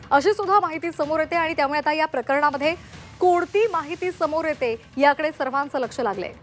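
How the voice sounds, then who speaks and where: A woman's voice: high-pitched, a bit bright. A woman reading a TV news bulletin in a formal, emphatic anchor's delivery. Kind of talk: scripted TV news bulletin narration.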